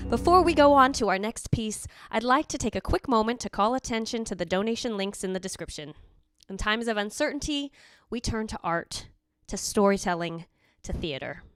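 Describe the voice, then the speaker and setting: A woman hosting a show from a script in a measured, dramatic way. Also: medium pitch, no room sound, studio quality. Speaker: host.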